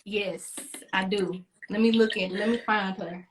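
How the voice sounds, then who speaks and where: A woman's voice: mid-range voice, steady tone, measured pace, noisy background. A woman hosting a casual beauty chat, talking in a playful, encouraging and chatty way. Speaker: beauty livestream host.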